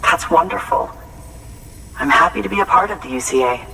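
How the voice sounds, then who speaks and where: A man's voice: a little high, expressive, brisk, gravelly, far from the mic, background noise. An actor playing a nervous, sentimental, courteous man in a video game cutscene, speaking in a hesitant and polite way. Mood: moved, grateful.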